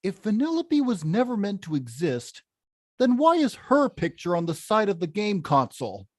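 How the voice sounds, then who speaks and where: A woman voicing a big, hot-tempered but caring male video game villain in a script read, with a blunt, gruff delivery. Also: medium pitch, sing-song, moderate pace, no echo, clean studio sound. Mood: menacing, demanding. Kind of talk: animated film script table read.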